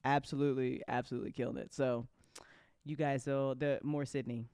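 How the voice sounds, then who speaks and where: A woman's voice: extremely low pitch, level tone, brisk, a little mellow, smooth, no echo. A woman hosting a live after show in casual chat, informal and repeating phrases for emphasis. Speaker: host of an audio drama after show. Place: close indoor mic on a live stream.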